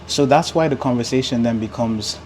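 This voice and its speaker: A man who grew up speaking Igbo, hosting a casual podcast chat and talking in loose, animated runs full of "like" and repeated words. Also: mid-range voice, a little fast, slight background noise. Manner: animated, talks with filler likes and repeats words. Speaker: podcast host.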